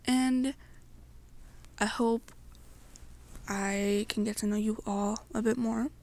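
Young woman, ASMR storytelling, slow pace, slightly high pitch, halting phrasing. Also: fairly even intonation, darkish tone. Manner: halting, pausing between short phrases. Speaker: amateur roleplay narrator. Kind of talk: ASMR story narration addressed to the listener.

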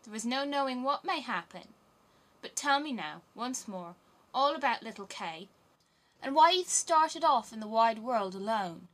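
A woman's voice: fairly high pitch, expressive, measured pace, fairly bright tone, voice pushed up. A woman voicing a wild, teasing little robber girl in a storybook, bold and commanding. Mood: brash. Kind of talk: storybook character voice.

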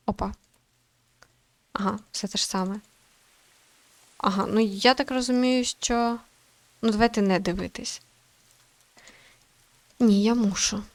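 A woman's voice: mid-range voice, fairly even intonation, a little slow, long pauses, no echo. A young adult woman giving casual let's-play commentary as a gaming host, thinking aloud in an informal, hesitant way.

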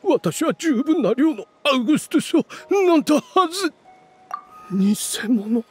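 A man's voice: very high voice, sing-song, unhurried, soft background music, heavily acted. An actor playing a bitter, vengeful male game villain who speaks in dramatic, faltering disbelief. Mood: shocked disbelief. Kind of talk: Japanese anime game voice acting.

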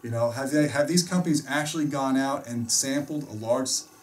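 Middle-aged American male host, casual explainer, emphatic and opinionated, average pitch, distant room mic. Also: fairly quick, slight background noise. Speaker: host and audio reviewer. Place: small indoor home theater room.

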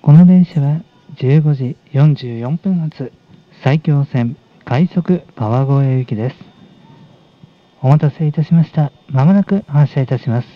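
A man making formal, unhurried announcements as a train conductor. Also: a little high. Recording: dull recording over train speakers.

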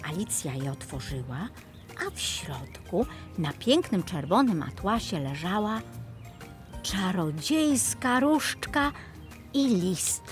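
A woman narrating a scripted fairy tale for children in an expressive storytelling style. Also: fairly low pitch, slow pace. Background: music.

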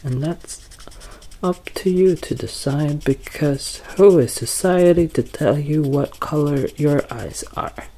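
A soft-spoken young man in an ASMR roleplay as a quirky, deadpan doctor, hesitant and halting, sometimes drifting toward a whisper. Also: fairly high pitch. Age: young adult. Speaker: ASMR performer playing a bumbling doctor. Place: small curtained room at home, indoors.

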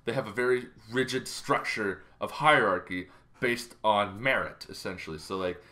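Bright-voiced man, casual explainer. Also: fairly low pitch, moderate pace. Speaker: host of a tabletop roleplaying lore show. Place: bedroom, indoors.

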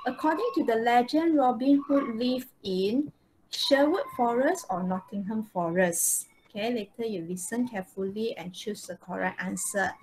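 High-voiced Malaysian woman teaching online. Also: normal speed, a little mellow. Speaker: English teacher. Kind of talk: live online lesson, instructing students. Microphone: video call microphone. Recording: noisy online video call.

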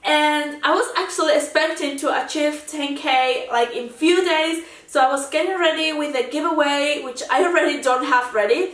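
A woman's voice: sits high, fairly bright tone, echoey room, distant mic. A woman, talking casually to camera as a channel host, full of fillers and easy laughter. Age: 20s. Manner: chatty, lots of fillers and restarts, laughs easily. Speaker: YouTube reaction host. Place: indoor room in front of a framed seascape painting.

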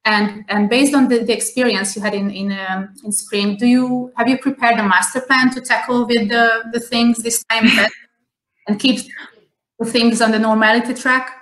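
A woman hosts an online interview from a plain room at home. She speaks carefully, pausing on fillers and restarting her phrases. The call sound bounces around a roomy space. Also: on the high side, steady tone, fairly bright tone. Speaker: host. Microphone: video call. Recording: echoey room on a video call.

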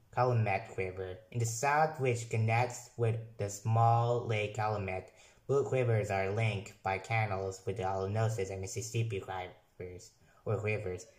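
A young man, not a native English speaker, talks slowly over a screen recording and stumbles through passages he reads aloud, often backing up to fix a word. His voice sits fairly low. The sound carries a clear echo from the room around him. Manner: reads haltingly with restarts and corrections. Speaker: software reviewer. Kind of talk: casual screen-recorded review, reading aloud. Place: room at a computer.

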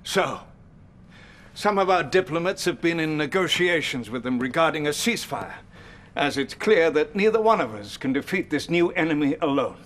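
High-voiced elderly British field marshal. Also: male voice, animated, moderate pace, a bit bright. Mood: grave, alarmed. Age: 60s. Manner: urgent, dramatic, military formality.

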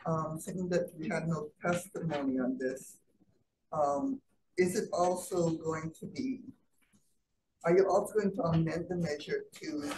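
A woman senator putting questions at a committee hearing, measured and hesitant with fillers, in a legislative hearing room. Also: deep voice. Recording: roomy, distant, noisy hearing room.

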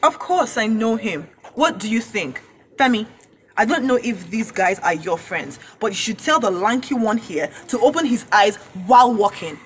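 A woman playing a haughty, sharp-tongued young Nigerian student in an audio drama, sarcastic and confrontational. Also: fairly high pitch, expressive, moderate pace, slight background noise, lightly acted. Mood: indignant.

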